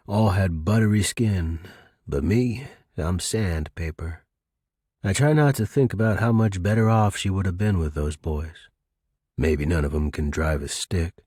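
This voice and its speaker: A weary middle-aged tough guy played by a male reader tells his own noir story in a very deep, husky voice. He is wry and clipped in a hardboiled way, with tender regret underneath. The recording is dry and close. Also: darkish tone, no room sound. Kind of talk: first-person noir audiobook narration.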